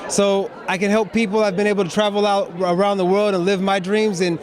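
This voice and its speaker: A man's voice: high voice, flat delivery, fairly quick. A man from Southern California giving an emphatic, preachy testimonial. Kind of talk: testimonial statement.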